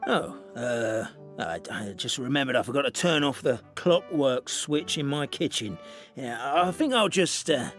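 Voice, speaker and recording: A man's voice: medium pitch, lively intonation, measured pace, a bit bright. A man voicing a cheeky, theatrical minion in a video game, given to stammering and mock-storybook narration. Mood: nervous, evasive. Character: cornered, cheeky, theatrical male minion narrating his own escape. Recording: echoey hall reverb, music behind.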